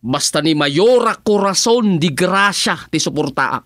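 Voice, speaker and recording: A man's voice: high-pitched, animated, a little slow, fairly bright tone, no room sound, clean studio sound. A man with an Ilocano Filipino accent playing a loyal, money-minded village councilor in a radio comedy-drama. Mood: defensive.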